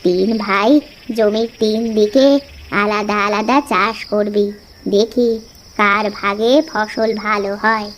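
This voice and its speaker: A woman playing a gentle, wise mother in a children's cartoon, speaking to grown sons in a measured, coaxing way. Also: mid-range voice, little pitch movement, slight background noise. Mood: calm, instructive.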